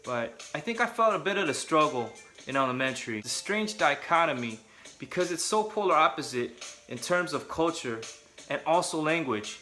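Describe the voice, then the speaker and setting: A man's voice: a little high, animated, moderate pace, bright, forceful tone, soft background music. A young adult man from California, talking casually and candidly to camera with joking asides. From Southern California. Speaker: vlogger.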